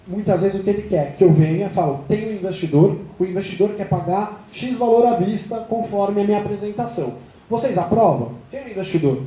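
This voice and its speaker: A man with a Brazilian Portuguese accent presenting to creditors, explaining quickly and acting out quoted dialogue. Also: high voice, warm tone. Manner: explanatory, fast, quoting others in dialogue. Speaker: company representative presenting to creditors. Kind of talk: business presentation. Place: meeting room. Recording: roomy hall, light noise.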